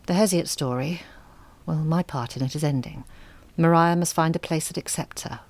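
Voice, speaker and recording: Low-voiced, earnest woman writer. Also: moderate pace, a little mellow. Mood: earnest, resolute. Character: earnest, self-deprecating woman writer, quietly determined. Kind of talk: audio drama dialogue.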